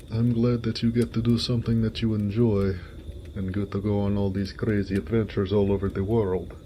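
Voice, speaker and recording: Big friendly orc blacksmith , male actor, audio roleplay. Mellow, slow-spoken, folksy and fond, over faint background noise. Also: on the low side. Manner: folksy, affectionate, easygoing. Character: big, warm-hearted orc blacksmith, affectionate and jovial. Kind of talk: intimate romantic audio roleplay, one-sided conversation.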